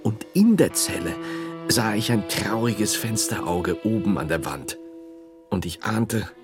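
A solemn, slow-speaking medieval monk. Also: male voice, on the low side, animated. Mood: sorrowful. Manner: deliberate, solemn, rapt storytelling. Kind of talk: German radio drama acting, literary monologue. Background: music.

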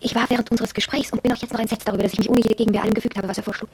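Fast, husky young shop girl. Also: on the high side, steady tone, a little mellow, no room sound. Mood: wondering, confiding. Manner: confiding, reflective narration of her own experience. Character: young shop girl, impressionable, wondering, inwardly excited. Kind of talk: radio drama monologue with dialogue.

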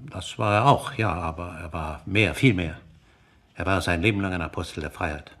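A deep, husky male voice with a gravelly edge. an elderly scholar in a radio drama, measured and earnest, speaking with solemn conviction. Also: a bit bright. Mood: solemn, fervent. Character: fervent elderly scholar and father, loyal to freedom.

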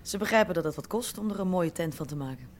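An actress in a radio drama playing a careful, insistent, businesslike go-between for shady investors. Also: female voice, fairly low pitch, lively intonation, fast, natural voice.

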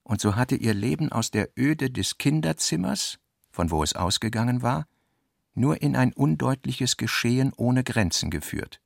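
A man narrates literary prose for radio in Standard German. His mid-pitched voice has a gravelly creak and is recorded very dry and close, with no room around it. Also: animated, moderate pace, dry recording.

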